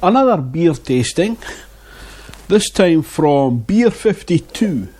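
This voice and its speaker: A man's voice: a little high, very animated, takes it slowly, warm tone, breathy edge. A man reviewing beer in a casual vlog, chatting and muttering asides to himself on a lapel mic. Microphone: wireless lapel mic.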